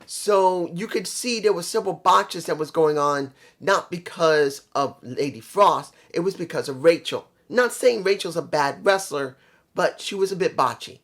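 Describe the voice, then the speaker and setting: Slightly hoarse, emphatic wrestling vlogger. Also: medium pitch, moderate pace. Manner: conversational, emphatic, repeats points for stress. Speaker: vlogger reviewing a wrestling show. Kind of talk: casual opinionated wrestling review.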